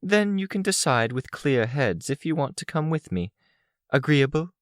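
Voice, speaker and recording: A commanding, goddess-like woman, put on by a male narrator for an audiobook. The voice is raised in pitch, formal and coolly controlled, in a dry recording. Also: big pitch swings, moderate pace, no echo. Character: imperious, cool commanding woman with godlike authority.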